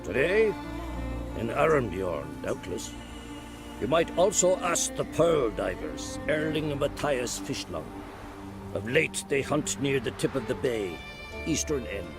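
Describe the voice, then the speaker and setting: A man's voice: mid-range voice, sing-song, unhurried, music in the background. A man voicing a learned, grumpy old druid in a video game, wry and sententious in manner. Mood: informative, wry.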